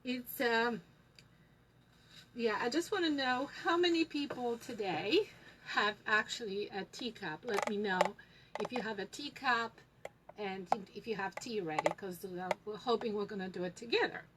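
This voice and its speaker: A woman with a Polish accent hosting a live tutorial on tea leaf reading, talking slowly with many fillers and pauses to viewers. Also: a little high, heavy background noise. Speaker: tea shop host teaching tea leaf reading.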